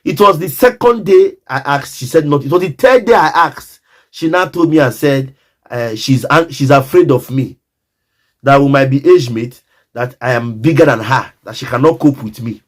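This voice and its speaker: A man's voice: medium pitch, moderate pace. A Nigerian man telling a personal story in a casual monologue, emphatic and repetitive, often speaking straight to the listener.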